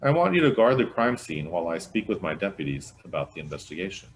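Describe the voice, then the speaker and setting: A man's voice: low-pitched, very animated, quick pace, a little hiss or hum, low-quality recording. A man voicing a commanding, matter-of-fact small-town sheriff in a storybook reading. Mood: firm, businesslike.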